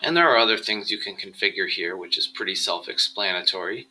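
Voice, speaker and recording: A man presenting a software tutorial, explaining each step in a measured, instructional screencast style. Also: low voice, rough recording.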